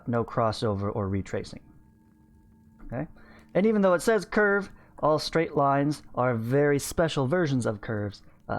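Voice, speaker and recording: A man in his thirties teaching math, reading a textbook aloud and adding informal explanatory asides into a close microphone. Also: medium pitch. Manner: informal, explanatory, often checking with "right?" and "okay". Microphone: close studio microphone on a stand.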